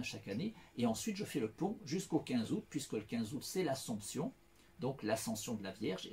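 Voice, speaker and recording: A man's voice: mid-range voice, a little mellow. A middle-aged man talking through a meandering personal monologue, full of restarts, fillers and repeated phrases. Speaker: self-styled philosopher.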